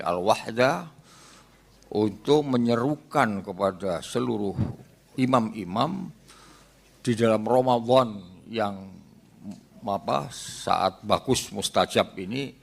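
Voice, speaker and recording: Slow, low, creaky-voiced man making an appeal. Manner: deliberate, halting in short phrases. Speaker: official giving an appeal at a press conference. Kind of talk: formal spoken appeal.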